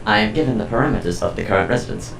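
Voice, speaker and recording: A cold household artificial intelligence played by a man speaks low and very gravelly. It rattles out formal, precise phrases without emotion, echoing over music in an audio drama. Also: lively intonation, very fast. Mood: flat, formal.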